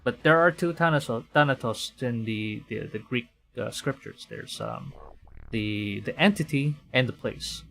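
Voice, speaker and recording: Casual man streaming, medium pitch. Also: vocal fry, fairly bright tone, a little hiss or hum. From Philippines. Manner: casual, halting. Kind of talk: live game commentary and read-aloud.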